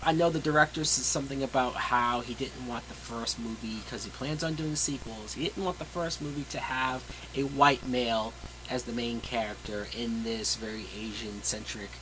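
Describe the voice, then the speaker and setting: A man's voice: medium pitch, fairly even intonation, moderate pace, noisy background. A man reviewing a movie in a casual, opinionated way, with emphatic, blunt and sweary delivery. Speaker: film reviewer.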